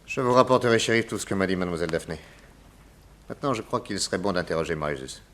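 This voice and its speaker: An actor playing a calm, methodical detective in a 1960s French radio drama, probing with deliberate courtesy. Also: male voice, low voice, a little hiss or hum. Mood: courteous, brisk. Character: calm, methodical male detective questioning a witness.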